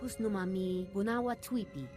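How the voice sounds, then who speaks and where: A woman's voice: mid-range voice, steady tone, normal speed, close to an everyday voice. Woman voicing an alien over prominent music. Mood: insistent. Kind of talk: video game creature dialogue.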